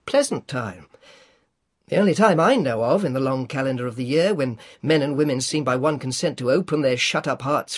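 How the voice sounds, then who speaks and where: A man reading a storybook, voicing a cheerful, eager young man who pleads gently. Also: medium pitch, husky, no room sound, clean studio sound. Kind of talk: audiobook reading.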